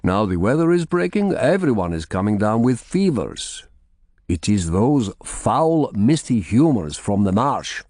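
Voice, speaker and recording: A man voicing a gentle, grave monk in an audiobook, with a measured manner. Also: fairly low pitch, very animated, no echo, clean studio sound. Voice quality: lisping. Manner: slow, soft, measured. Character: gentle, grave foreign monk with dark face.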